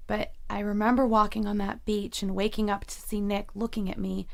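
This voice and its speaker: A woman playing a haunted, frightened young student in an audio drama, earnest and halting as she pleads. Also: mid-range voice, flat delivery, gravelly, natural voice. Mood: desperate, earnest. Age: young adult.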